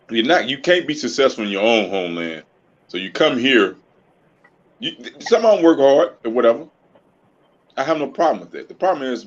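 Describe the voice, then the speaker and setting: A man in casual chat with a laid-back, drawn-out way of talking. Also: mid-range voice. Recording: dull lo-fi line.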